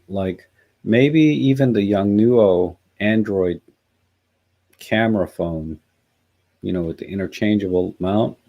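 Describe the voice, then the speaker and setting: Male livestream host, hoarse and scratchy, gravelly fry, mellow tone, casual musing delivery. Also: lowish voice. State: hoarse after a night out. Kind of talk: casual live chat, reading out and answering comments.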